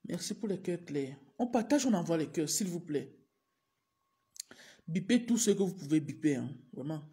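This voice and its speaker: Woman, adult teacher, has a cold, clears her throat; slow, repetitive live-stream pleas. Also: low voice, warm tone. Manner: repetitive, urging viewers over and over. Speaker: teacher livestreaming to followers. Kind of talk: casual live-stream address.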